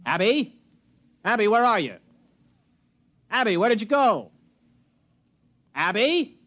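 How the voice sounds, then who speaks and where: An actor playing a nervous, scheming, educated city man with an American accent, excitable and theatrical in an old-time radio drama. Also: high voice, slow, exaggerated voice. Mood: startled, alarmed. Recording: noisy muffled vintage radio recording.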